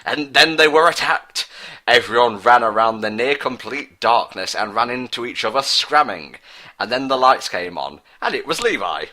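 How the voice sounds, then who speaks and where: A man's voice: mid-range voice, animated, normal speed, pushed and bright. A man reading a story aloud as narrator, in a mock-dramatic style. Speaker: narrator, fan fiction reader.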